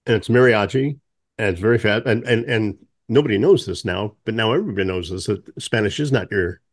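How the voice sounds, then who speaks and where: Relaxed male podcast host, low voice. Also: a little fast. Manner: relaxed, anecdotal, with fillers and laughs. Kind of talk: casual interview chat.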